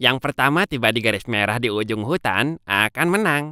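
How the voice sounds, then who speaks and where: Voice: medium pitch, lively intonation, fairly quick, bright, forceful tone, faint music behind. An actor voicing a boastful, smug hare in a children's cartoon fable, with a swaggering, mocking manner. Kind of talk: children's animated fable dialogue.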